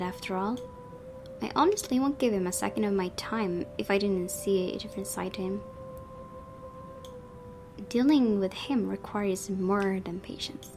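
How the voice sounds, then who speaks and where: Woman gaming host over clear music. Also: mid-range voice, a little mellow. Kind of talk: casual game commentary with read-aloud narration. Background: game music.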